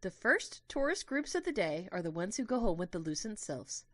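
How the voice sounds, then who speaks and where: A woman voicing a gentle, petite female tour guide who explains things politely to a group, in an audio drama. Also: mid-range voice, very animated, moderate pace, dry recording, voice pushed up. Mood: earnest. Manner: explanatory, polite public address.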